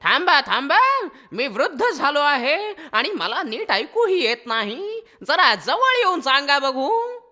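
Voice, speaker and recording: Slow, sly old jackal, high and bright. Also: female voice, big pitch swings, exaggerated voice. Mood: feigning patience. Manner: slow, drawn-out, deliberate. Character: old jackal king, slow, sly, feigning frailty and fairness. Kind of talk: children's animated fable acting.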